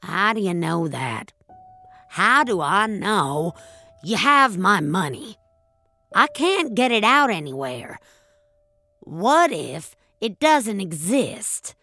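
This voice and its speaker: Woman acting an indignant, slow-talking elderly woman. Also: mid-range voice, sing-song, sharp tone, heavily acted. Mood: frustrated, indignant. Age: older. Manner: indignant, insistent. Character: angry, desperate elderly woman bank customer. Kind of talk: audio fiction acting.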